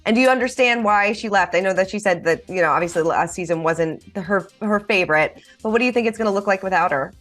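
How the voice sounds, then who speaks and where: A woman's voice: mid-range voice, fast talker, hardly any pauses, a bit bright, soft background music. A woman interviewer asking questions in a casual, conversational way with frequent "you know". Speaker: entertainment interviewer.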